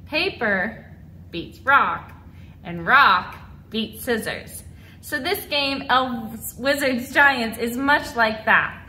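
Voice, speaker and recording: High, bright-voiced woman teaching children. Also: lively intonation, extremely slow, slight background noise. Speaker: dance teacher. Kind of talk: instructional video lesson for children. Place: large mirrored dance studio indoors.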